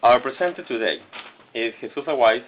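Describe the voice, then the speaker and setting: A man's voice: mid-range voice, a little fast, a little hiss or hum. A man hosting and introducing a webinar, stumbling a little with fillers. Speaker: webinar host. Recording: lo-fi webinar audio.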